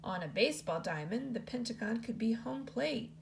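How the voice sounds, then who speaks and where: Teacher lady reading a kids book, gravelly voice, swoops up and down a lot. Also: moderate pace, slight background noise. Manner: expressive read-aloud with lots of pitch movement. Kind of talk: reading a picture book aloud.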